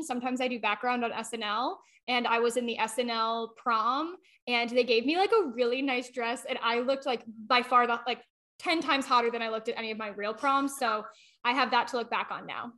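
Female co-host, young; high voice, casual, self-deprecating chatter. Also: fairly quick, sharp tone. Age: young adult. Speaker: podcast co-host. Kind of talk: casual chat.

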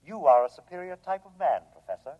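A man's voice: fairly high pitch, very animated. A man playing a cold, menacing alien robot in an old radio drama, speaking formally and matter-of-factly. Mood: cold, assured. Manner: formal, measured, matter-of-fact. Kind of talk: 1950s radio drama acting. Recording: thin, phone-like old radio recording.

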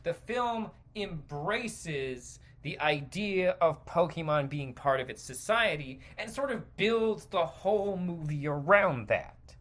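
Animated, bright-voiced man ranting. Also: a little high, takes it slowly, few pauses. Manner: rambling, digressive, emphatic. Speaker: podcast host. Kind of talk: opinionated stream-of-consciousness commentary.

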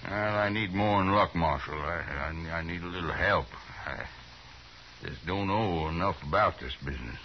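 An actor playing a proud, stubborn greenhorn cattle rancher from Alabama, blunt and brooding, in 1950s radio Western style. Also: male voice, low voice, moderate pace. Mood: pleading. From American. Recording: muffled, hissy 1950s radio recording.

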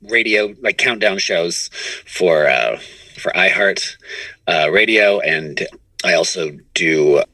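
A middle-aged man with a fairly low yet bright, forceful voice, recorded dry. He speaks as an interview guest, recounting things in a loose, conversational storytelling style. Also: slow pace, dry recording, rough recording. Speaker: interview guest, comedy writer and voice performer.

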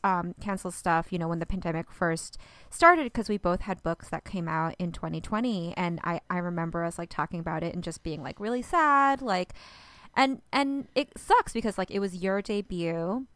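A woman's voice: fairly low pitch, normal speed, a bit bright, dry recording. A woman co-hosting a podcast in casual chat, talking with lots of fillers. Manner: chatty, laughs often, many fillers. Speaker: podcast co-host, author.

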